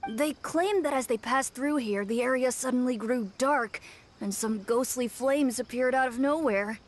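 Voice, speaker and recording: A woman's voice: sits high, moderate pace, a little hiss or hum, close to an everyday voice. A woman playing a helpful, earnest young companion in a video game, reporting things plainly. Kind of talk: video game dialogue acting. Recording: game music behind the voice. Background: background music.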